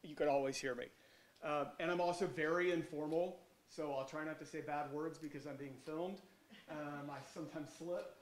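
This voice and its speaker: A man with a cold lectures in a loud, carrying voice, pitched a little high. He talks informally and swings from booming emphasis to quiet asides. The sound rings with the echo of a lecture hall. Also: steady tone, moderate pace. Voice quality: loud, projecting voice. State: has a cold. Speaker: guest lecturer, medical researcher.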